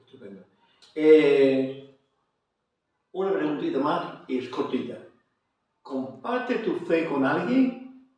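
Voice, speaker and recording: A man with an Andalusian Spanish accent who hosts an evangelical program, talking at a fairly high pitch in a chatty, affirming way. He sounds a little distant from the mic in a very echoey room. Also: animated, takes it slowly, long pauses. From Spain. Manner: warm, chatty, with frequent amens. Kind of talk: evangelical TV interview.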